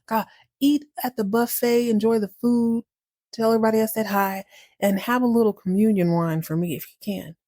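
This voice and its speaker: A sweet little female storybook mouse, voiced by a woman storyteller, pitched fairly high with a soft-edged tone. She is chatty, trusting and easygoing, and gushes in casual slang. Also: steady tone, normal speed, no room sound, clean studio sound, lightly acted. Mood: warm, delighted. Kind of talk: casual storybook character dialogue.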